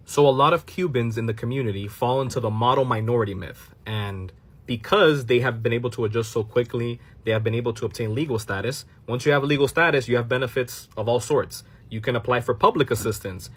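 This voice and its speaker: A man's voice: mid-range voice, fairly bright tone, breathy edge. A man from Miami reacting to a clip and explaining his view straight to viewers, dropping in Spanish phrases. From Miami, United States. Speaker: commentary channel host. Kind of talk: casual reaction commentary to a clip.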